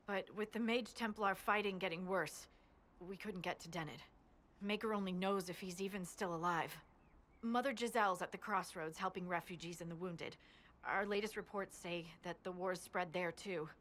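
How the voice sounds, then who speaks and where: A woman's voice: fairly low pitch, moderate pace, a bit bright, lightly acted. A woman voicing an earnest, plain-spoken young female scout in a fantasy video game. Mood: serious, concerned.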